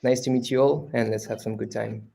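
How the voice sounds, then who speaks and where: A man from France, an engineering manager, giving a halting self-introduction at an online panel with many pauses. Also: on the low side, steady tone, vocal fry, dark tone. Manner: hesitant, many uh fillers.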